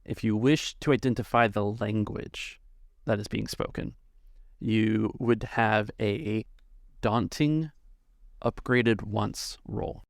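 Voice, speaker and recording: A man working as game master, narrating a tabletop game off the cuff in measured, halting phrases. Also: lowish voice, creaky, no echo, clean studio sound.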